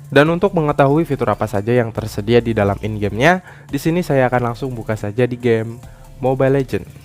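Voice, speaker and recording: A man's voice: medium pitch, animated, brisk, darkish tone. A man narrates an app tutorial in an explanatory way, often tagging his sentences with a short "ya". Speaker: tech tutorial YouTuber. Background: background music.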